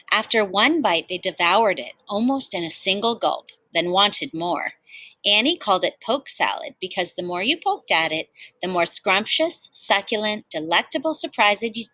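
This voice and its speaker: Bright-voiced woman reading a storybook. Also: medium pitch, dry recording. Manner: animated storytelling with asides to young listeners. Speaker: teacher reading a picture book aloud to children. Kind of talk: expressive storybook read-aloud.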